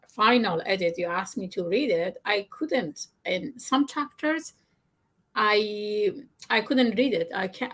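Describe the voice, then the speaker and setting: A middle-aged Persian-accented woman, native in Farsi, as heard when an author opens up in a personal interview. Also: mid-range voice. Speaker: guest author. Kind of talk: candid personal conversation.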